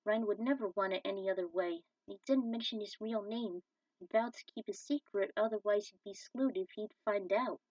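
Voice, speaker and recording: A woman's voice: medium pitch, normal speed, a little mellow, slightly rough, no room sound. A woman narrating and commenting on her own fan fiction as an amateur storyteller. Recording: dull, slightly distant microphone.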